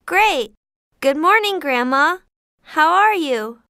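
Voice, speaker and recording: A woman's voice: high-pitched, expressive, takes it slowly, gravelly, pushed and bright, dry recording, studio quality, heavily acted. A woman voicing a sweet, polite young fairy-tale heroine in simple cartoon dialogue for English learners. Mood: cheerful.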